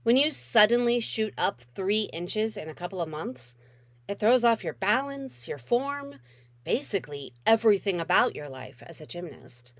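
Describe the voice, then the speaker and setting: Expressive woman reading a novel aloud. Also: medium pitch, normal speed, no echo. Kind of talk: expressive first-person audiobook narration of a middle grade novel.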